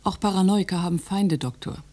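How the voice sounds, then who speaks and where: A woman playing a sceptical female inspector in a radio drama, calm, measured and challenging, with a husky, breathy voice. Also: fairly low pitch, close to an everyday voice. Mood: quietly defiant.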